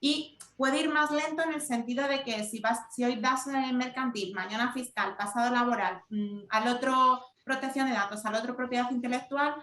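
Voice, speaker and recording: A woman who leads corporate communications, giving measured, explanatory answers on a webinar panel over a video call. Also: a little high, pushed and bright, echoey room. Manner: measured, explanatory, repeats points for emphasis.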